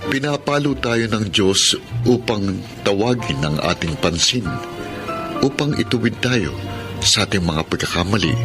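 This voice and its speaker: An actor playing a humbled, repentant accountant, earnest, grateful and solemn, in a Filipino radio drama. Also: male voice, deep voice. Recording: background music under the voice.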